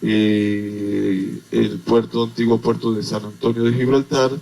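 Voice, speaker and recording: A man from Venezuela, an agronomist explaining things as a guest in a radio interview, unhurried and full of hesitations. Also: lowish voice, level tone, gravelly, dark tone.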